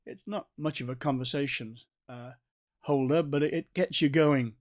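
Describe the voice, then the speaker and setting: A man with a North Staffordshire accent giving a recorded Bible study in a conversational way, with restarts and gentle asides. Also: mid-range voice, moderate pace. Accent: North Staffordshire English. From England. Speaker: Bible teacher.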